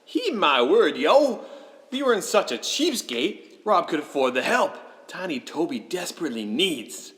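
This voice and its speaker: A man's voice: fairly high pitch, very animated, normal speed, a bit bright, voice pushed up. A man voicing a jovial, boastful giant Christmas ghost who declaims and slips into slang, in a storybook drama. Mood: boastful, commanding. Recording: long hall reverb.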